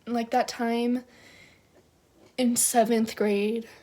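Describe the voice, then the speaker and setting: A woman's voice: on the high side, flat delivery, very slow, warm tone. A young woman delivering a mock-earnest, tearful-sounding apology video as a youtuber.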